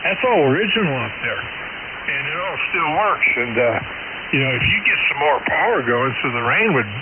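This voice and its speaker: A man's voice: fairly high pitch, big pitch swings, normal speed. A man chatting casually as an amateur radio operator, dry and teasing, heard through a thin, hissing shortwave radio signal. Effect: received over shortwave radio. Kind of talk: casual ham radio chat. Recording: hissy, narrow, phone-like shortwave radio signal.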